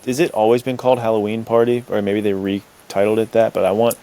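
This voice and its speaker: A man's voice: lowish voice, level tone, fast, warm tone. A man co-hosting a podcast in casual, slangy, enthusiastic conversation. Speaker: podcast co-host. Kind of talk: casual chat.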